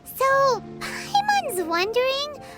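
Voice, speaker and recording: A woman voicing a tiny, outspoken, childlike girl companion with a squeaky voice in a video game. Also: very animated, slow, loud music behind the voice, heavily acted. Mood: curious.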